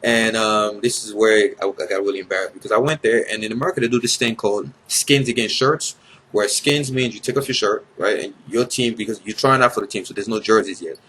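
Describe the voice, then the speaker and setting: A man's voice: fairly low pitch, fairly quick, a bit bright, a little hiss or hum, low-quality recording. A tired young man from Ivory Coast, a native French speaker, telling a casual story to his viewers with blunt swearing and rambling asides. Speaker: vlogger.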